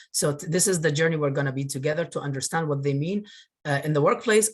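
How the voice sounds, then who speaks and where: A man from northern Iraq giving a webinar over a video call, earnest and grateful, often pausing with fillers. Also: fairly high pitch. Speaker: webinar presenter, career coach. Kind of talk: presentation.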